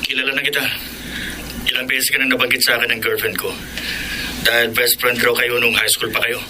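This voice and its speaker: A man's voice: medium pitch, level tone, a little fast, sharp tone, a little hiss or hum, rough recording. A man in a Filipino radio drama playing a wary young boyfriend who questions his caller.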